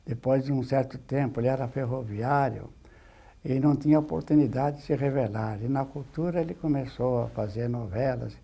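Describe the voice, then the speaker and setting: Gravelly, husky Brazilian man reminiscing. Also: medium pitch, moderate pace, dark tone. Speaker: documentary interviewee. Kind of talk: reminiscing in an interview.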